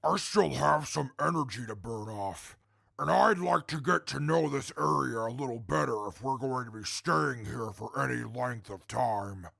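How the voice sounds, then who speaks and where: An actor playing a restless, reserved wasteland wanderer in an audio drama, plain and understated, with a creaky, husky voice. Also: male voice, mid-range voice, normal speed, bright, forceful tone, no echo, studio quality, heavily acted. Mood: low-key. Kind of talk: post-apocalyptic audio drama acting.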